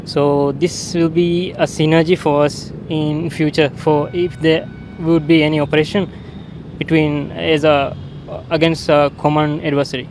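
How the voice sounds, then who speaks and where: A man in his twenties with a Maldivian accent, a military cadet being interviewed, halting and repeating words. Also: a little high, flat delivery, darkish tone, slight background noise. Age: 20s.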